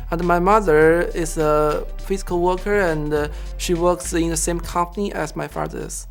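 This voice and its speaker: A man from Zhejiang with a Chinese accent, a student researcher telling about himself and his work in halting, non-native phrasing. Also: a little high. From Zhejiang, China. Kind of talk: interview-style personal and project account.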